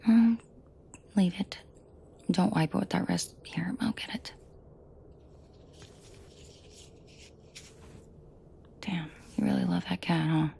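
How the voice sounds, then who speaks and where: A woman playing a protective, commanding mafia boss in an intimate ASMR roleplay, speaking softly and often whispering, unhurried, with dry teasing authority. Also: fairly low pitch, exaggerated voice. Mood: tender, teasing. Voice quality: breathy, soft, often whispered.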